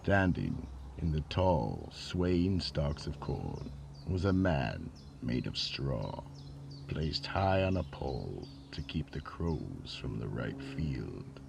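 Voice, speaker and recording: A man narrating an eerie mystery radio drama in a theatrical storytelling style, with dramatic pauses. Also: a bass voice, sing-song, creaky, background noise.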